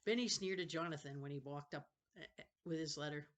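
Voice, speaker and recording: A woman's voice: low voice, a little fast. An older woman reading a children's novel aloud as a storyteller, drifting into rambling, self-correcting chatty asides.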